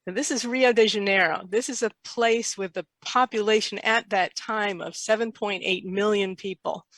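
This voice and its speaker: Bright-voiced older woman telling stories. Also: medium pitch, normal speed, no room sound. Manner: lively anecdotal storyteller, quotes herself. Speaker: interview guest. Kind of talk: casual storytelling.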